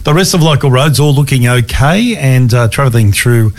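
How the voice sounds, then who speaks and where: Australian male breakfast radio co-host, croaky from no sleep, casual halting chat. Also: mid-range voice. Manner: relaxed, halting, many fillers. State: croaky, hoarse from lack of sleep. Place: radio studio.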